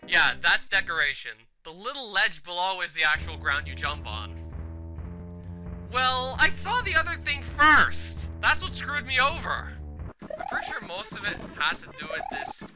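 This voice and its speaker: A man talks over his gameplay in a loose, opinionated way, filling his sentences with casual fillers. His voice sits a bit high for a man and swings widely in pitch. Loud game music plays under everything he says. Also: very animated, unhurried, poor audio. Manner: chatty, frequent "like" fillers, opinionated. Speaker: let's play commentator.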